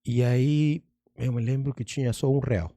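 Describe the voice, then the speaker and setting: A middle-aged man with a Spanish accent, originally from Argentina, telling stories casually as an interview guest. His voice is gravelly, with a rough, fry-laden texture. He rambles, restarts and slips in Spanish words, recorded dry in a studio. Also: mid-range voice, steady tone, a little slow, dark tone, dry recording. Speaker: guest, magician and comedian.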